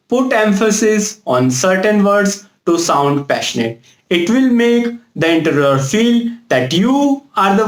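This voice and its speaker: A man giving an instructional presentation as a career coach, speaking deliberately and emphatically straight to the viewer. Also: high-pitched. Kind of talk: instructional presentation with numbered tips. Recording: echoey room.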